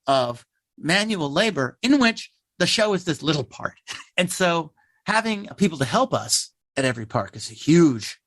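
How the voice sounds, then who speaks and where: Man, slightly high voice, dry close sound, casual explainer, interview guest, theater playwright. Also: very animated, moderate pace, a bit bright, no echo. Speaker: theater troupe member and playwright.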